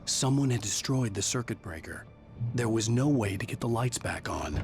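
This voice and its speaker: An actor playing a brooding writer who narrates his own nightmare in grave, measured first-person storytelling. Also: lowish voice, animated, a little mellow, natural voice. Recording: game music behind.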